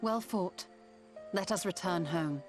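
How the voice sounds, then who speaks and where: A woman playing a calm, formal, scholarly woman in a fantasy video game, speaking in a measured, grave way. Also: on the low side, reverberant, big-room sound, over music. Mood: grave, thoughtful. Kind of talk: dramatic video game cutscene acting.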